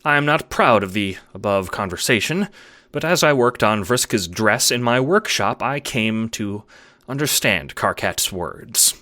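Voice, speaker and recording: A man's voice: fairly low pitch, lively intonation, measured pace, bright, forceful tone. A man playing a precise, erudite alien troll who narrates an audio drama in a formal, pedantic manner. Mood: rueful. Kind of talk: scripted audiobook narration in character.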